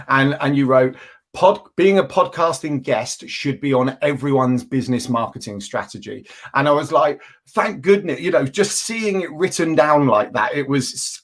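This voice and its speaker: A man's voice: medium pitch, slightly rough. A man hosting a live stream who talks in a bubbly, enthusiastic way, with frequent stumbling restarts. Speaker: host.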